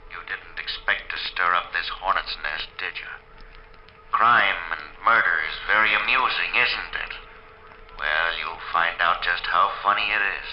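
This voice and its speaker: An actor playing a sneering, murderous chauffeur who taunts and threatens in 1940s radio horror style, on a dull old recording. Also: male voice, sits low, moderate pace, lightly acted. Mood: sneering, gloating.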